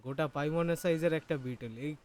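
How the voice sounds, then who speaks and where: Very fast-talking man commenting on a game. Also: a little high, darkish tone. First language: Bengali. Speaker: gaming streamer. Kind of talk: casual gameplay commentary.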